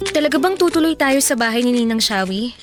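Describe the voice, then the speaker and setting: A woman acts out a shy teenage Filipina girl in a radio drama, obedient and embarrassed. Her voice is very high and hesitant, with loud music playing underneath. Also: expressive, fast talker, lightly acted. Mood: timid, uneasy. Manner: timid, hesitant.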